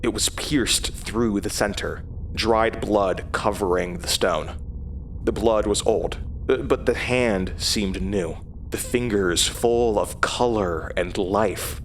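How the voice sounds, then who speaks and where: An actor playing a haunted man, narrating a horror audio drama in the first person with grave, measured hesitation. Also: on the low side, a little hiss or hum. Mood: haunted, tense. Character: haunted man recounting his horrifying past. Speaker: narrator and lead character.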